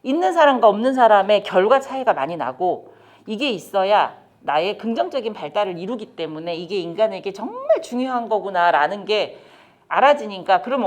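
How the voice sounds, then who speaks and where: Woman, middle-aged, lecturer, animated intonation, engaging. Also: medium pitch. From South Korea. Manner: lively, talks straight to the audience with questions. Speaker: lecturer on child development. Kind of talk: training lecture to caregivers. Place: lecture room.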